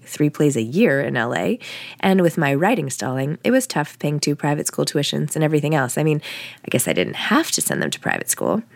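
A woman's voice: deep voice, no room sound. A woman reading first-person fiction aloud in a wry, conversational way, like an audiobook. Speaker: author reading own novel.

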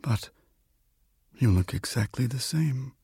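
A man voicing a shy, lonely Death in a story reading, gentle and halting, often stammering. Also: medium pitch, animated, breathy edge, no room sound, studio quality, heavily acted. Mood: awed, hesitant. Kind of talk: literary story reading with character dialogue.

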